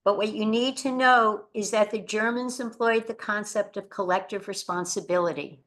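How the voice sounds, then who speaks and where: A woman Holocaust educator, giving an earnest lecture that tells rescue stories and drops quietly at somber moments. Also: medium pitch, fairly even intonation, moderate pace. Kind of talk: lecture presentation.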